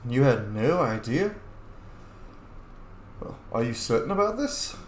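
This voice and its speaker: A man's voice: mid-range voice, very animated, measured pace. A man casually role-playing his game character and commenting as he plays, with laid-back, understated reactions. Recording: echoey room, faint noise.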